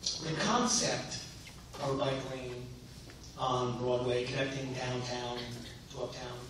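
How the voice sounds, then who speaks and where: A man's voice: medium pitch, steady tone, slow pace. A man who is losing his voice, answering as a candidate in a debate. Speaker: mayoral candidate. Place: synagogue hall. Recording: echoey hall from far off, some noise.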